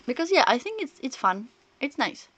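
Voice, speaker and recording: A woman's voice: high-pitched, big pitch swings, moderate pace, fairly bright tone, slightly rough, no room sound, dull audio. Woman streamer chatting, close dry sound. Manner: rambling, thinking aloud. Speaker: video game livestreamer. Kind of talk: casual chat and reactions while playing.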